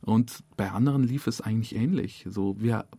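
A man's voice: on the low side, moderate pace, gravelly, dark tone, husky, dry recording. A man in a casual interview as a radio drama director, speaking informally in broken-off, restarted sentences. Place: dry radio studio.

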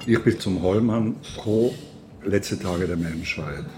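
A man's voice: low-pitched, unhurried, background noise. An older man with a Swiss German accent, interviewing and reminiscing in casual conversation.

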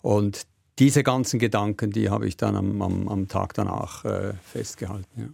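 A man's voice: fairly low pitch, steady tone, moderate pace, vocal fry, a little mellow, no echo. An older man, a veteran correspondent giving emphatic, reflective commentary in an interview. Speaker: former Washington correspondent, interview guest.

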